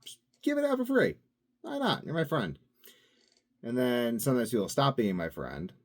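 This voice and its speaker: A man's voice: medium pitch, huge pitch swings, moderate pace. A man talking to his own video channel in a casual, rambling monologue, musing and drifting from thought to thought with plenty of fillers. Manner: musing, drifting between topics with frequent fillers. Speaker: vlogger.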